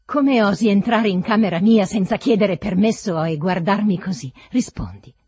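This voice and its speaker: Storybook master, male, tormented and furious woman performs him: husky, gravelly, frantic shouts, obsessive repetition. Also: mid-range voice, animated, a little slow, no echo, heavily acted. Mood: furious, commanding. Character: furious man, tormented master raging at his servant.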